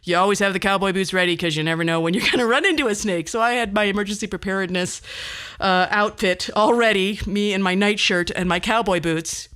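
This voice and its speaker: Bright-voiced woman telling podcast stories. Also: mid-range voice, a little fast, smooth. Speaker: podcast host. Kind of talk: comedic personal storytelling podcast.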